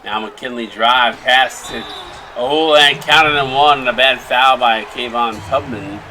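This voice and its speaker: A man's voice: mid-range voice, a little slow, pushed and bright, slight background noise. A tired man from New York doing easygoing, chatty commentary on a simulated basketball game, calling plays between banter. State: tired from little sleep. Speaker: play-by-play commentator.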